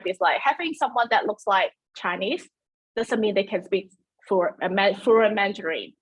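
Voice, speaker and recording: A woman's voice: mid-range voice, lively intonation, normal speed, husky, poor audio. A woman whose first language is Cantonese, hosting a webinar in a chatty, self-deprecating way with many fillers and restarts, talking into a computer mic. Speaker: webinar host. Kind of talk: presenting a webinar.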